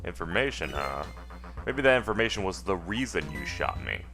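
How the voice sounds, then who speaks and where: A man's voice: low voice, big pitch swings, normal speed, music in the background. A man voicing a sardonic, probing amnesiac dead man in a detective game. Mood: accusing. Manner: sardonic, questioning, pressing. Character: wry amnesiac dead man, a needling amateur investigator.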